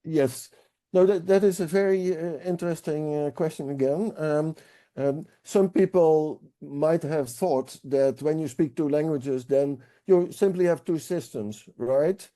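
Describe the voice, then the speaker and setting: A man explains research in an interview. His voice sits a little high but sounds deeply mellow and warm. He pauses often and restarts his sentences as he thinks. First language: Dutch. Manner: thoughtful, halting with frequent fillers and restarts. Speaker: senior researcher guest.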